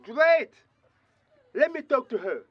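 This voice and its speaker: A man acts an earnest young migrant son in an audio drama. His voice is high and slow, pleading down a thin, dull phone line. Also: big pitch swings, breathy edge, dry recording, full character voice. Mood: urgent. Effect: phone line filter.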